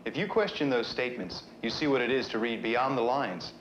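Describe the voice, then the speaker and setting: An actor playing a chatty explainer, presenting an educational film in a conversational, scripted way. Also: male voice, mid-range voice, fairly quick, noisy background, natural voice. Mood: explaining. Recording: muffled 1970s film soundtrack with music.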